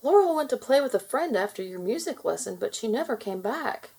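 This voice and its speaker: A woman's voice: fairly high pitch, lively intonation, a little fast, gravelly, warm tone, slightly rough, pitched above the performer's own voice, exaggerated voice. Woman voicing an urgent, worried village father. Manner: urgent, anxious. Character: worried village father searching for his daughter.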